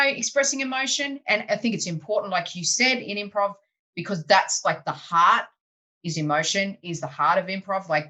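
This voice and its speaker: A woman hosting an improv podcast, talking casually over a video call, chatty and animated, full of "you know" and "like". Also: on the low side. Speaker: podcast host and improv teacher. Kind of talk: casual interview chat.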